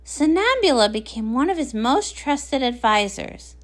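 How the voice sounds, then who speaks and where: A woman performing as a storybook narrator, reading a scripted pony legend in a measured storytelling manner. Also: high-pitched, big pitch swings, a bit bright, full character voice.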